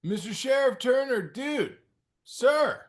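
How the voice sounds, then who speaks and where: A man performs a ski bum dude in his twenties, slangy and whiny. His high voice swings around a lot as he pleads his way through a comic script reading. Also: lively intonation, slow, heavily acted. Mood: pleading. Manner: slangy slacker, whining. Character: laid-back, pleading young ski bum, male, twenties. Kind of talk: comedic table-read acting.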